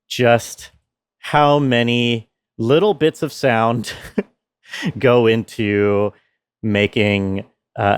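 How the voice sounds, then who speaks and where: A man explaining his sound design work in a measured behind-the-scenes walkthrough. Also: lowish voice, dry recording.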